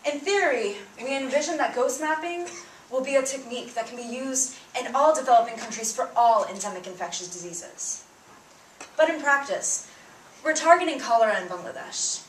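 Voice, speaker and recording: Young woman student speaking in a hall, bit high voice, echoey and kinda far from the mic, earnest. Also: normal speed, slight background noise. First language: Bengali. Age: young adult. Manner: earnest, emphatic, rising on openers. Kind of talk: prepared public talk. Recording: roomy sound, mic at a distance.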